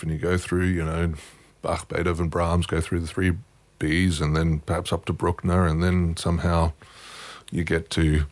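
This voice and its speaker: Male guest, very low voice, wry and rambling, dry close-mic sound. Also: no room sound. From Australia. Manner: wry, self-mocking, thoughtful, rambling anecdotes. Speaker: guest musician. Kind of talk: relaxed storytelling interview.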